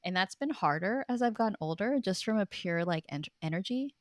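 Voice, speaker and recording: A woman's voice: mid-range voice, expressive, fairly quick, no echo, studio quality. A woman in her thirties speaking earnestly and emphatically in a reflective, conversational interview as a guest. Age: 30s. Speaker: podcast guest, writer.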